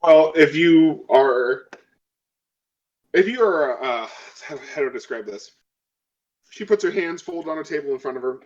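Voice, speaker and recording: A man's voice: on the high side, animated, a little slow, pauses often, dull audio. A man chatting casually as a player in a tabletop role-playing game, slangy and paraphrasing as he goes.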